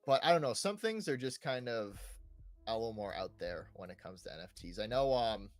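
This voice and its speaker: A man's voice: mid-range voice, animated, fairly quick. Young man chatting casually over background music. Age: young adult. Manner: hesitant, repeats words, often seeks agreement with "right?" and "you know". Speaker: podcast co-host. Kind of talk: casual chat about video games.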